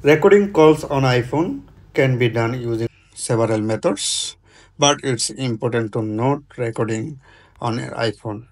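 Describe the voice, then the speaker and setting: Male tech tutorial presenter, mid-pitched, unhurried pace, halting non-native English, informal how-to delivery. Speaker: tutorial host.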